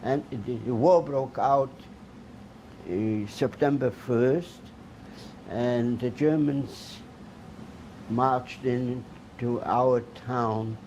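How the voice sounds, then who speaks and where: An older man from Poland, first language Polish, giving oral history testimony in a halting way with many restarts. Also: mid-range voice, a little mellow, a little hiss or hum, low-quality recording. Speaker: Holocaust survivor giving testimony.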